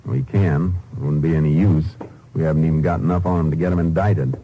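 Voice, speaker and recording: An American actor playing a shrewd, determined, laconic Texas Ranger in a 1950s radio drama, clipped and matter-of-fact. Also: male voice, extremely low pitch, fairly even intonation, fairly quick, mellow. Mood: frustrated, dry. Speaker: lead character and narrator. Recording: noisy old 1950s radio recording.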